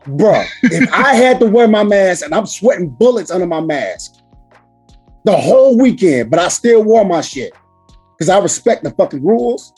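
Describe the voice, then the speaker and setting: A man hosting a casual podcast chat with a hoarse, raspy voice from screaming, talking loudly and emphatically with lots of swearing. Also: high-pitched, sing-song, moderate pace, faint music behind. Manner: loud, profane, emphatic. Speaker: podcast host.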